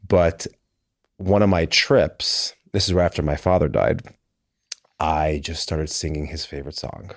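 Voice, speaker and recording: Young man, podcast guest, deep bass voice, rambling and self-deprecating, dry close sound. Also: steady tone, a little slow, no room sound. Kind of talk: conversational interview.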